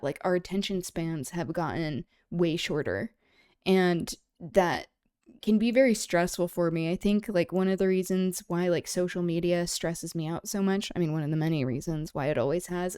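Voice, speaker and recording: Smooth, low-voiced woman chatting on stream. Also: dark tone, no room sound, clean studio sound. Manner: discursive, halting, thinking aloud. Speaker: livestream host. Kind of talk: casual livestream chat, reflective commentary.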